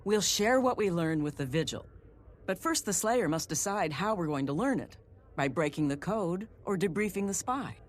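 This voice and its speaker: An actor, a man, playing a composed, watchful secret agent in a video game, speaking in a measured way. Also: sits high, animated, a little hiss or hum. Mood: businesslike.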